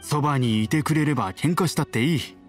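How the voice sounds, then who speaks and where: A man playing a kind, steady middle-aged villager in an anime game, calm and neighbourly. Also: on the high side, a little fast, faint music behind, natural voice. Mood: calm, caring. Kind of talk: Japanese anime game voice acting.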